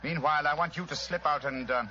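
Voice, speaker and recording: An actor playing a shrewd, commanding English gentleman detective in an old radio drama, precise, with an English accent. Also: fairly high pitch, quick pace. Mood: composed. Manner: urbane, precise, authoritative. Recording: muffled, noisy old radio recording.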